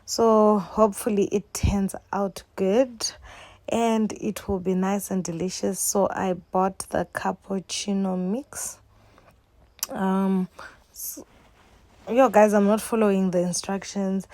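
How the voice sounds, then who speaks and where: A woman's voice: mid-range voice, flat delivery, takes it slowly, dark tone, dry recording. A young woman with a Zimbabwean accent hosting a casual home-baking vlog, playful and jokey, laughing often and talking straight to her viewers. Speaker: cooking vlogger.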